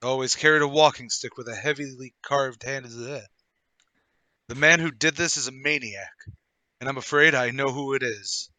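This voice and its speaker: A man playing a hearty, brash English-gentleman type with theatrical banter in a radio play table read. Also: mid-range voice, normal speed, no echo. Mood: grave, urgent. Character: cheery, brash gentleman brother-in-law with hidden cunning.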